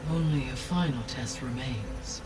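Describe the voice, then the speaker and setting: A man playing a calm, precise artificial intelligence in a video game, measured, formal and emotionless. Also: mid-range voice, far from the mic, background noise, natural voice. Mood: composed, analytical.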